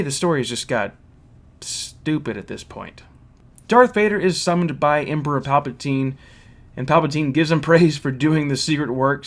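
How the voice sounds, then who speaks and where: A man with a mid-range voice reviews and recaps a story in a casual, conversational way. He is blunt and critical, stating his opinions plainly. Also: medium pitch.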